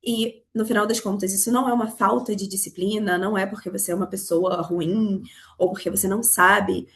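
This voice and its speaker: A Brazilian woman from Rio with a Carioca accent, hoarse from a cold, teaching a live online class on money, talking straight to her students. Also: medium pitch, steady tone, fairly quick, a bit bright. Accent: Carioca Brazilian Portuguese. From Rio de Janeiro, Brazil. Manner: explanatory, addresses students directly. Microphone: video call.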